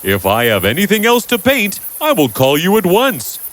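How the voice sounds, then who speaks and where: A man's voice: sits high, wildly swooping pitch, normal speed, a bit bright, pitched above the performer's own voice, heavily acted. A man voicing a wealthy, jovial and generous businessman in a storybook tale, with a hearty, expansive manner. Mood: pleased, generous.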